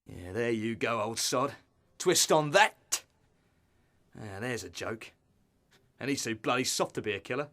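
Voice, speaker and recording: A man's voice: mid-range voice, expressive, measured pace, a bit bright. A man playing a pushy, insinuating tabloid reporter in a radio play, slangy and hectoring. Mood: sneering. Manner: hectoring, slangy, mock-reasonable.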